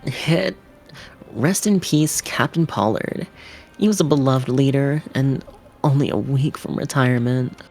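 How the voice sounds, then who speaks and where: A woman voicing a dry, mock-solemn student gamer in a game, needling in a deadpan, theatrically grave manner. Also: extremely low pitch, a little slow, soft background music, heavily acted.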